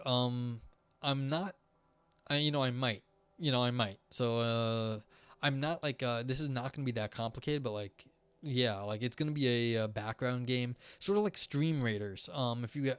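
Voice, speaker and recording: A young man chatting casually on a live stream, rambling with many fillers and restarts, his voice starting to go hoarse. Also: mid-range voice, a bit bright, no echo. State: voice going hoarse.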